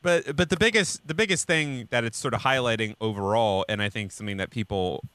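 A man co-hosting a comedy podcast in casual chat, riffing in halting, repetitive phrases. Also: mid-range voice, a bit bright, dry recording. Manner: riffs in mock quoted voices. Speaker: podcast co-host.

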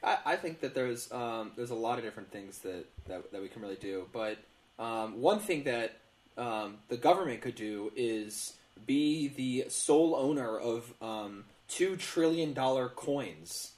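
A man co-hosting a comedy news podcast, explaining things casually with pauses in mid-phrase. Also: mid-range voice, lively intonation, a little mellow. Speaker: podcast co-host.

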